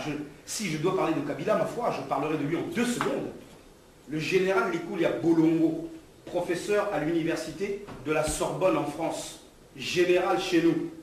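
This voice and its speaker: Echoey, high-pitched Congolese man making political speeches. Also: a little mellow. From Democratic Republic of the Congo. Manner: emphatic, rhetorical, didactic. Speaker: political speaker, activist. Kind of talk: impassioned political speech to a gathering. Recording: distant, echoey room with background noise.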